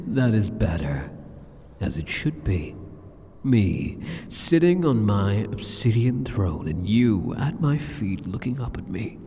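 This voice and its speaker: A sadistic demon king , acted by a man, fills a cavernous, long-reverberating hall with a husky voice. His pitch sweeps up and down as he condescends to the listener with arrogant amusement, stretching out his phrases in theatrical ASMR roleplay style. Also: very animated, takes it slowly, warm tone, full character voice. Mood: smug, taunting. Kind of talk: scripted ASMR roleplay monologue addressed to the listener.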